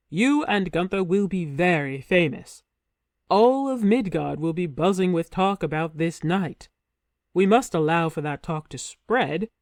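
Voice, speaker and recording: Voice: medium pitch, dry recording, clean studio sound, lightly acted. A storyteller voicing a young male hero posing as a king, speaking firmly and a little stiffly. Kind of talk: storybook reading.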